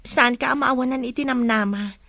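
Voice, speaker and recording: A woman playing a gentle, hopeful young lover. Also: high voice, fairly quick, no room sound, exaggerated voice. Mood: tender. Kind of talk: radio drama acting.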